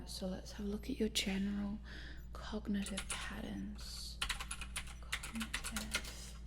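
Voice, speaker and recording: A woman playing a quirky, lonely scientist in a whispered ASMR roleplay, softly muttering to herself as she tinkers. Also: medium pitch, a little hiss or hum. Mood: focused, puzzled. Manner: soft, hesitant, muttering to herself. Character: quirky lonely female scientist repairing a robot in her lab.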